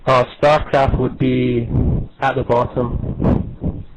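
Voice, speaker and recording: A man's voice: medium pitch, little pitch movement, slow. A man, a gamer answering interview questions casually, on a slightly noisy old internet voice call. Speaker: professional gamer being interviewed.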